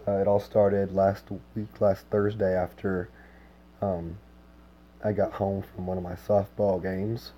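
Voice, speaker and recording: A hoarse man chats easily with viewers on his livestream, his voice sitting low and dark. He talks in a relaxed, unhurried way, scattering filler words between his thoughts. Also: slight background noise. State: hoarse, lost voice. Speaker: game streamer. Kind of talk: casual livestream chat with viewers.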